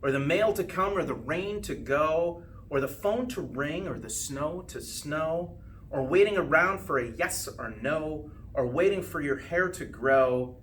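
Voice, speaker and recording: A man reading a rhyming children's storybook aloud with expressive, sing-song phrasing and drawn-out line endings. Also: a little high. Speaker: school principal reading a storybook. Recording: distant mic with faint background noise.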